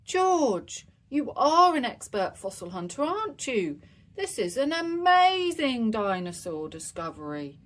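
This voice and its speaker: A woman's voice: a little high, big pitch swings, measured pace, fairly bright tone, voice pushed up. A woman voicing a proud adult male rabbit expert in a children's storybook, making emphatic announcements. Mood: impressed.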